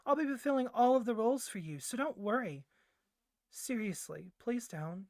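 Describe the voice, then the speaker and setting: A woman's voice: on the high side, lively intonation, moderate pace, darkish tone, no room sound. Woman playing a clingy, doting yandere boyfriend, close and dry. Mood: awkward, reassuring. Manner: gushing, coaxing, rambling with flustered hesitations. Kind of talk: soft ASMR boyfriend roleplay.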